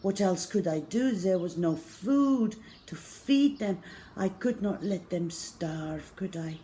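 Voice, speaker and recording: Storybook role: weary old French gentleman played by a woman, frail, regretful, unhurried delivery. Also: fairly low pitch, animated, a little hiss or hum. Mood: sorrowful. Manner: halting, regretful, speaking some French.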